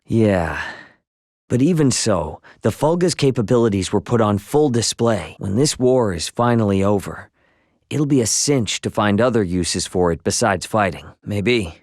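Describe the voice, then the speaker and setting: An actor playing an easygoing, cocky, good-natured young hero in a video game, talking in a casual, teasing, slangy way. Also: male voice, lowish voice, lively intonation, measured pace, a little mellow, dry recording, clean studio sound. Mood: relaxed, earnest. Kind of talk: English video game dialogue acting.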